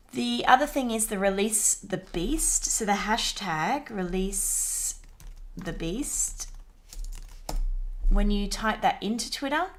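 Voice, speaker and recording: A woman presenting a marketing assignment as a casual screen-recorded walkthrough, talking conversationally with frequent fillers. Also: fairly low pitch. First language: English.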